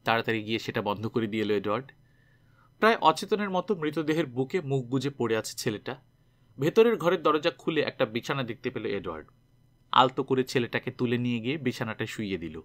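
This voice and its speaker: A man narrating a scripted story dramatically, with expressive delivery as an audiobook reader. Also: mid-range voice, fairly quick.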